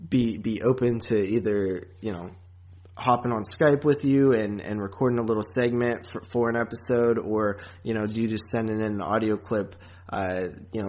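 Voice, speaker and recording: Low-voiced man hosting a casual podcast. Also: a little mellow. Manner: rambling and halting, with frequent asides. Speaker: podcast host. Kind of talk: casual movie podcast chat.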